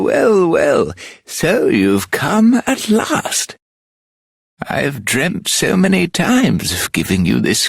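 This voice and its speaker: A man's voice: mid-range voice, gravelly, husky, dry recording, clean studio sound, exaggerated voice. An actor playing a dreamy, eccentric old man in a fantasy video game, drawling his words in a whimsical, theatrical way.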